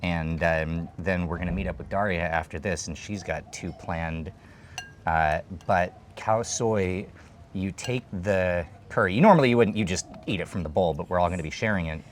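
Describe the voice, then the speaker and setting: A man's voice: low voice, normal speed, slight background noise. A man in his thirties hosting a food show, chatting and explaining dishes while eating at an open-air stall, on a lapel mic. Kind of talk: casual food chat and explainer.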